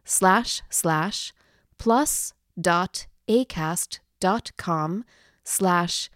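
A woman's voice: lowish voice, drawn-out words, fairly bright tone, no room sound, clean studio sound. A woman presenting for a publisher, reading a scripted promotional announcement.

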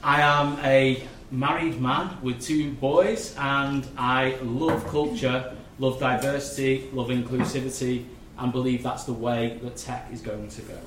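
A man's voice: medium pitch, steady tone, unhurried, noisy background. A man introducing himself earnestly on a live panel. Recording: echoey live-event room.

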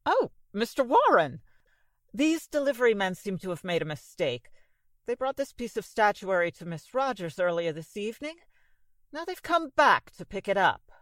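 A woman's voice: medium pitch, sing-song, moderate pace, fairly bright tone, no echo. A woman playing a prim, fussy but trusting building manager in a 1940s style radio drama, polite and proper.

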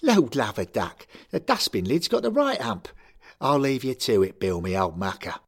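A man voicing a cheeky 41-year-old ex-footballer who talks loudly in slang. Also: mid-range voice, big pitch swings, moderate pace, gravelly, slightly rough, pitched above the performer's own voice, heavily acted. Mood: chirpy. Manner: loud, chirpy, full of slang.

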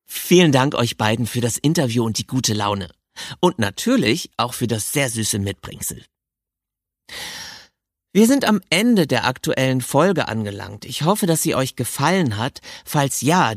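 A middle-aged man with a slightly husky voice, hosting a casual podcast interview. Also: medium pitch, dry recording, clean studio sound.

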